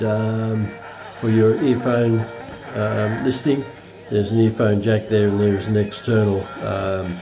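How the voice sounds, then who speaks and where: Man, lowish voice, casual unscripted demo, halting explanatory delivery, clear music in the background. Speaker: presenter of a radio review. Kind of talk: casual unscripted product demonstration.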